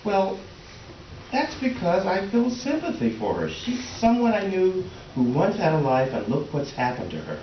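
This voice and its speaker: A man's voice: high-pitched, wildly swooping pitch, normal speed, dull audio. A man acts a mild husband in a stage comedy, defensive yet hesitant and eager to placate. His intonation swings widely, and he sounds far from the mic in an echoey, noisy space. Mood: defensive, placating. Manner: conciliatory, hesitant. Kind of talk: comic stage readers theater.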